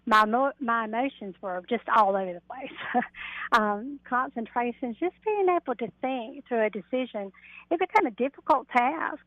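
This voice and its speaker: A woman's voice: fairly high pitch, expressive, normal speed, no room sound. A middle-aged woman guest on a radio interview, explaining her work, heard over a narrow telephone line. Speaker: interview guest, mediator and coach. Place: phoned into a radio show.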